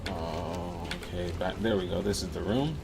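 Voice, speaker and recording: A man's voice: low-pitched, normal speed, vocal fry. An American man from Miami, chatting casually and easygoing as he gives live gaming commentary. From Miami, Florida, United States. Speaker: livestreamer. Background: music and game sounds.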